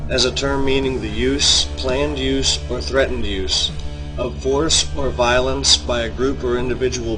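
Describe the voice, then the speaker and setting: A man's voice: mid-range voice, poor audio. Man narrating commentary over music. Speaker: video commentator. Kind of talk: scripted narration, opinion commentary. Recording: music bed under the voice.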